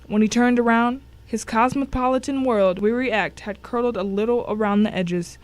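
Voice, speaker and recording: A woman's voice: fairly high pitch, fairly even intonation, normal speed, close to an everyday voice. Woman acting as grim thriller narrator. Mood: grim, tense. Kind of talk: dramatic first-person story narration.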